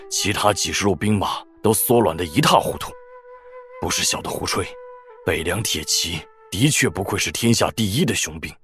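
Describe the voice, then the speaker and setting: A man's voice: low voice, a bit bright. An actor playing an awestruck, nervous Taoist trickster who speaks humbly and colloquially to his lord in a Chinese wuxia audio drama. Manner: deferential, fawning, colloquial.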